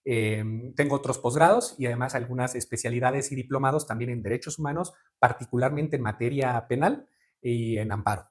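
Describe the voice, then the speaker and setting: Formal Mexican man answering steadily. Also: mid-range voice. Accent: Mexican Spanish. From Veracruz, Mexico. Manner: measured, formal, with frequent fillers and tag questions. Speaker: judicial candidate guest. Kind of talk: interview answers.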